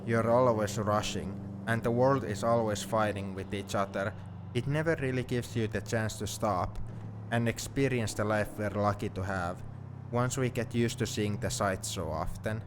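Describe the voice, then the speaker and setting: A man's voice: lowish voice, a little slow, lightly acted. A man playing a sentimental, teasing young friend heading to university, in an intimate one-sided ASMR roleplay. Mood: wistful, reflective. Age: young adult. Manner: reflective, playfully defensive. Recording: steady car noise behind the voice.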